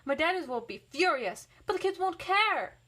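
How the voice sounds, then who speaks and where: A woman's voice: very high-pitched, very animated, moderate pace, bright, forceful tone, voice pushed up, heavily acted. A woman voicing an anxious, earnest little girl in a picture book story, fretful and emphatic. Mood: worried.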